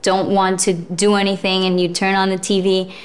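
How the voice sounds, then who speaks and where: Young actress, thoughtful interview guest. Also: female voice, medium pitch, little pitch movement, moderate pace. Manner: thoughtful, with frequent fillers and laughs. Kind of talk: casual interview chat.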